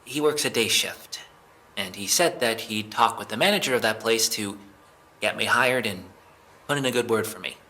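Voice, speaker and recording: A man's voice: on the low side, normal speed, bright, forceful tone. A man playing a flustered, defensive young suspect in an audio drama police interrogation, hesitant and rambling as he explains himself. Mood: anxious, rambling.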